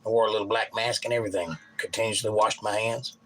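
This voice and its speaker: A hoarse man in his sixties hosting a casual livestream, rambling and reading out viewer comments. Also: lowish voice, steady tone, quick pace, poor audio. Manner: rambling, folksy storytelling. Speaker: livestream host. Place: home livestream with guitar. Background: loud guitar playing.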